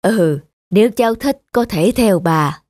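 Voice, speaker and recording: A woman's voice: mid-range voice, lively intonation, measured pace, darkish tone, a little hiss or hum, lightly acted. A woman playing a reclusive elderly neighbour in a cartoon, curt and reserved but kindly.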